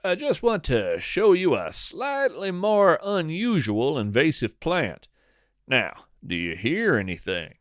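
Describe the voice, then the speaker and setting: A man narrating a scripted first-person story as a podcast host, in a whimsical, deliberate and dryly playful manner. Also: on the high side, wildly swooping pitch, dry recording, studio quality.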